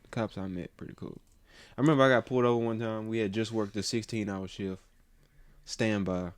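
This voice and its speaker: A man's voice: lowish voice, fairly even intonation, fairly quick, no room sound. A man in his twenties hosting a casual podcast chat, rambling and swearing freely with lots of fillers and restarts. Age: 20s. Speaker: podcast host.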